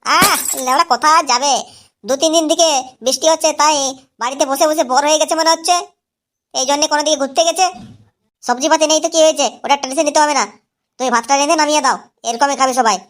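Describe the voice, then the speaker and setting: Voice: sits high, steady tone, fast, a bit bright. An actor voicing an irritable, lazy cartoon husband who grumbles, swears and brushes things off in comic style. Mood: irritated, dismissive. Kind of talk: exaggerated comedy cartoon voice acting.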